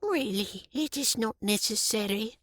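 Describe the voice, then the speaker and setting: A man voicing a timid, apologetic old woman with a soft, hesitant way of talking. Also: sits high, a little slow, no room sound, studio quality, pitched above the performer's own voice.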